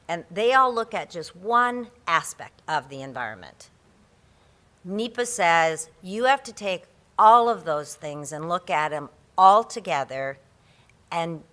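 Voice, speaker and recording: A woman's voice: on the low side, bright, forceful tone. A woman presenting a conference session on environmental law, chatty and self-deprecating, often restarting her sentences, speaking into a microphone in a large room. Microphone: handheld or podium microphone.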